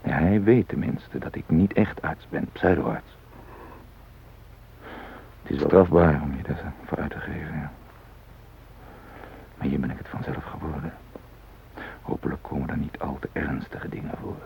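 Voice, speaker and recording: An actor playing a self-possessed man posing as a doctor among hostages, musing in measured, clipped phrases in a radio drama. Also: extremely low pitch, vocal fry, darkish tone, exaggerated voice. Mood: musing, wry. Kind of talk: radio drama interior monologue. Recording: muffled phone-like old radio recording, hiss.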